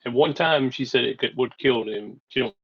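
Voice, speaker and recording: A man's voice: mid-range voice, normal speed, a bit bright. A man chatting casually in character as a tabletop game player, on lo-fi audio.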